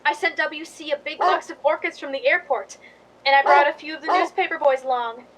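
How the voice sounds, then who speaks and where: Very high-voiced gushing movie diva. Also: female voice, brisk, a bit bright, poor audio. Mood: breezy, showy. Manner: theatrical, gushing, affected. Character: spoiled, gushing Hollywood movie queen, grand diva. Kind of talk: radio drama acting.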